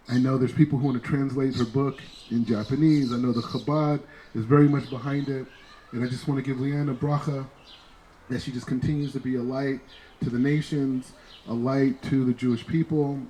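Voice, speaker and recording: Earnest man with mellow, dark voice. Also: mid-range voice, level tone, normal speed, slight background noise, poor audio. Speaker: speaker introducing the author. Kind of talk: warm blessing-style introduction.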